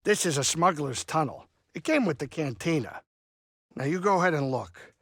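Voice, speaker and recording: A man voicing a gruff but caring small alien saloon keeper in a video game, with a blustery, folksy, wisecracking delivery. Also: on the high side, animated, moderate pace, no echo, clean studio sound. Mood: earnest, concerned. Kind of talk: video game character dialogue.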